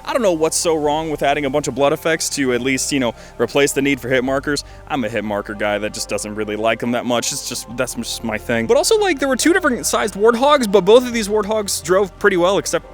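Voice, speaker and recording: A man's voice: on the high side, expressive, fast, few pauses, soft background music. A man giving casual, rambling video commentary as he reviews games, with dry joking asides and frequent self-interruptions. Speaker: video creator reviewing fan-made games.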